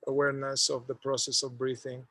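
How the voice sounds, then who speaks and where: A man leading a guided meditation, speaking in an unhurried, halting way with long pauses. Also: mid-range voice, little pitch movement, bright, forceful tone, breathy edge, dry recording.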